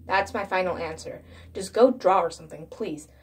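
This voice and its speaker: Woman as an exasperated, low-voiced older brother. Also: moderate pace, slightly rough. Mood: annoyed. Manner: scolding, exasperated, slangy. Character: irritated, protective teenage older brother. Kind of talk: horror story narration with acted dialogue.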